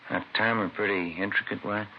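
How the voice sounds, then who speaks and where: Laconic low-pitched police sergeant, played by a man. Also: steady tone, fairly quick, lightly acted. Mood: inquisitive. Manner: terse, questioning. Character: observant, laconic male police detective sergeant. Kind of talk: 1950s radio crime drama acting. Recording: noisy, dull vintage radio recording.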